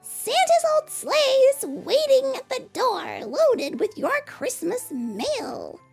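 Very high merry little elf, performed by a woman, chirpy, exclamatory, bouncing pitch, storybook character. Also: huge pitch swings, takes it slowly, gravelly, soft background music, full character voice. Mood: excited. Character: merry little round Christmas elves.

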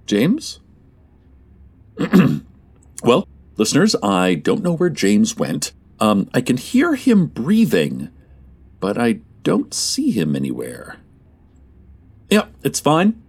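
A man playing a theatrical radio host who delivers spooky monologues in an expressive, deliberate manner. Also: mid-range voice, husky, exaggerated voice. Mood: chatty, bemused. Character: spooky radio show host, chatty, theatrical, naively unbothered.

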